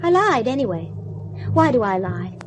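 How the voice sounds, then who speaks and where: A woman's voice: on the high side, very animated, moderate pace. An actress playing a bitter, emotional woman who loves an astronaut, in an old radio play on a noisy recording. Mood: despairing. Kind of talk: 1970s radio drama acting.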